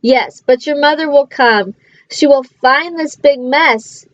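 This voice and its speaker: A woman's voice: high-pitched, slow, no room sound, highs cut off. A woman voicing a fussy, anxious pet fish in a children's storybook, scolding in a fretful, emphatic way. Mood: worried.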